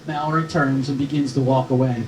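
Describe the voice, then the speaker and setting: A man's voice: mid-range voice, fairly even intonation, a little fast. A man reading stage directions as scripted narration at a screenplay table read. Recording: roomy, distant mic, faint noise.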